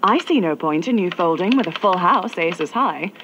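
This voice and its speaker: An actress playing a calm female mission handler, speaking measured instructions to an agent over an earpiece. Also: lowish voice, lo-fi sound, noisy background. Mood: composed. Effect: earpiece radio.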